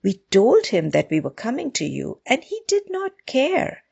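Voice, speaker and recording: A woman's voice: a little high, very animated, unhurried, vocal fry, slightly rough, dull audio, pitched above the performer's own voice, full character voice. A woman voicing a small, meek but clever rabbit, polite and pleading, in a storybook tale.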